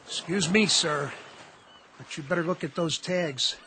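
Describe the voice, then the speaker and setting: Bright, high-voiced young-sounding Navy diver. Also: male voice, unhurried, slight background noise. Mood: uneasy. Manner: respectful, eager. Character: dutiful male Navy diver, earnest subordinate sailor. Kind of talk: radio drama acting.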